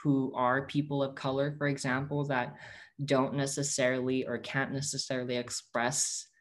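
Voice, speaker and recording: A man's voice: mid-range voice, flat delivery, moderate pace. A young man whose first language is Tagalog speaks in an earnest, reflective way as an interviewee. His voice sits in an ordinary middle range, and he often restarts sentences as he searches for the right words. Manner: earnest and reflective, with frequent self-corrections. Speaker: interviewee, activist. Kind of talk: answering interview questions.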